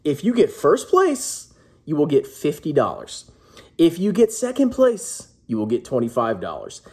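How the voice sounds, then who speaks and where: Casting: male vlog host, thirties, rough-edged husky voice, lively swooping delivery, emphatic joking showman. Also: on the high side, measured pace. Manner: brash, jokey, emphatic showman. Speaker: personal finance vlogger, host. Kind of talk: casual announcement to camera. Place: small indoor room at home.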